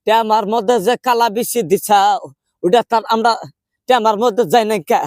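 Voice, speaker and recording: Man putting on a high-pitched quarrelsome village granny. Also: fairly even intonation, moderate pace, a little mellow, no room sound, clean studio sound, natural voice. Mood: angry, accusing. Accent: rural Bangladeshi dialect. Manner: insistent, complaining, argumentative. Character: quarrelsome old village grandmother, poor, complaining and haggling. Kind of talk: comic prank phone call.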